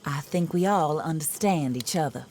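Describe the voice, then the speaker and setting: A haughty widow of means speaks in a notably deep female register. She is deliberate and coolly bitter, set on vengeance, in a dramatic game scene with a faint bed of insects and night ambience. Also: darkish tone, slight background noise. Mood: wry, composed. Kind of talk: dramatic game cutscene acting.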